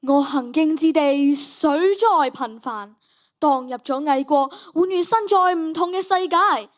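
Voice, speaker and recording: Young girl playing a solemn preachy sage, high voice, hong kong cantonese radio play. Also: a little slow. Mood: solemn, reproving. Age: child, primary school student. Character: solemn male philosopher sage, morally reproving. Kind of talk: school radio drama acting.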